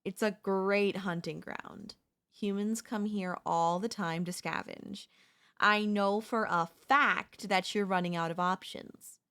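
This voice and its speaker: A woman's voice: medium pitch, fairly even intonation, a bit bright, smooth. A woman voicing a chatty, teasing zombie in a comedic audio roleplay, talking casually and playfully straight to the listener. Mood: confident, taunting. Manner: casual, playful, taunting, slangy, drawn-out delivery. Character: chatty, teasing, cocky female zombie hunting a human survivor.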